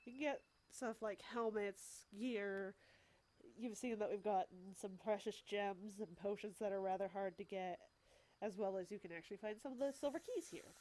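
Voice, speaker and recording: A woman's voice: mid-range voice, normal speed, dark tone, a little hiss or hum, poor audio. A woman with a stuffy cold talking casually as a let's play host, explaining the game as she goes with wry asides. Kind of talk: casual gameplay commentary.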